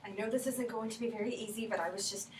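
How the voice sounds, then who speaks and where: A woman on stage playing a flustered, heartbroken woman who searches for a lost love in halting, stammering, apologetic speech. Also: on the high side, steady tone, a little mellow, lightly acted. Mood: anxious, hopeful. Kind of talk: staged play reading. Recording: roomy, distant mic, faint noise.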